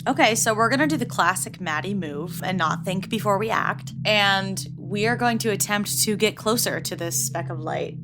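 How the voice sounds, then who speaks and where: A woman's voice: mid-range voice, animated, normal speed, hardly any pauses. A chatty young woman describes her character's moves in a tabletop game, with lots of fillers and giggles. Her voice sits mid-range, and background music plays throughout. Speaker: player. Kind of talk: casual tabletop roleplay.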